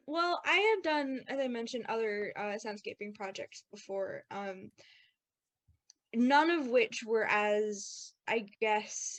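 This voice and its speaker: A woman's voice: mid-range voice, dry recording. A woman chatting casually as a podcast actor and sound designer, explaining things in a rambling, self-correcting way. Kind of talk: casual panel chat, post-show commentary.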